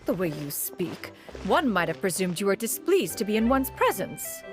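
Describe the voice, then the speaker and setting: A woman's voice: medium pitch, very animated, normal speed, natural voice. A woman voicing an ancient, proud female adeptus who speaks in formal archaic terms and calls herself "one". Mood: haughty. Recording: game audio with music.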